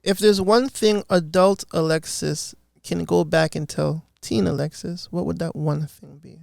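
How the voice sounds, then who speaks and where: An interviewer asking measured, plain questions. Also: medium pitch, steady tone, vocal fry, darkish tone, no room sound, studio quality.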